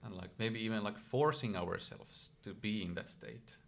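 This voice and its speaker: A man's voice: lowish voice, moderate pace, vocal fry. A Finnish man whose first language is Swedish, a yoga expert guest explaining ideas step by step in casual conversation. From Finland. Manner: thoughtful, explains step by step with small restarts.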